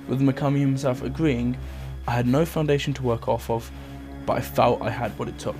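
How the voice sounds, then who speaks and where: A man hosting a video essay, reading scripted documentary-style narration. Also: mid-range voice. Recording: music under the voice. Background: background music.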